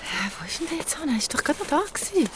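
A woman's voice: high-pitched, sing-song, racing, very noisy. An actress playing a frightened, bewildered mother in a naturalistic radio drama, hesitant and anxious in her exclamations. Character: distraught mother seeing her dead son, frightened and bewildered. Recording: loud music under the voice.

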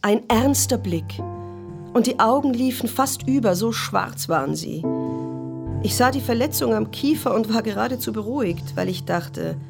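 Candid ex-wife over music. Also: female voice, fairly high pitch, lively intonation, normal speed, creaky. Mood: pensive, shaken. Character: shaken, candid ex-wife recalling a hospital visit. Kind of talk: letter read as dramatic monologue.

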